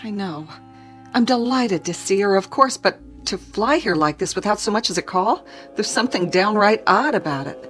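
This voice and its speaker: An actress playing an anxious, cautious mother in a radio drama, worried and gently insistent. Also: mid-range voice, expressive, moderate pace, faint music behind, natural voice. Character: anxious, cautious, fussing mother of a teenage boy.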